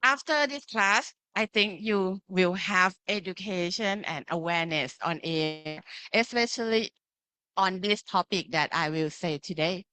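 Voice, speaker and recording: A woman's voice: on the low side, measured pace, pushed and bright, smooth, no echo. A woman from Thailand with a Thai accent, lecturing to students in simple phrases and often checking that they follow. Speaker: pharmacy lecturer. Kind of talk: interactive online lecture with slides.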